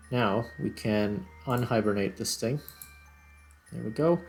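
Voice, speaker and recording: A man with a fairly low voice gives relaxed, casual let's play commentary. He thinks aloud one step at a time as he plays. Faint music sits behind his voice. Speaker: gaming video host. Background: background music.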